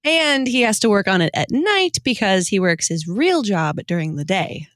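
A woman acting as a teasing figment ghost in a comedic radio play, speaking at a middle pitch with lively swings. She is wry and matter-of-fact, recorded close and dry. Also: moderate pace, no echo, close to an everyday voice. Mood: wry, pointed.

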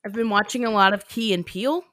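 A woman's voice: medium pitch, quick pace, a bit bright, no echo, clean studio sound. A woman podcast host chatting casually and self-deprecatingly, often laughing. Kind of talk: casual chat.

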